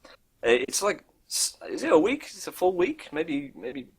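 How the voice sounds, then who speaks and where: A man's voice: a little high, wildly swooping pitch, normal speed, slightly rough. A man co-hosting a casual, unscripted gaming podcast, informal and jokey, with loose language. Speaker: co-host presenting video game news.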